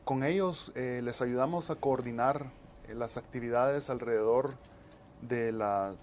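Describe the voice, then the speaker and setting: A man, explaining as an interview guest in measured, halting phrases. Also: medium pitch, darkish tone, a little hiss or hum. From El Salvador. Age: 40s. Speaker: interview guest, disaster management specialist.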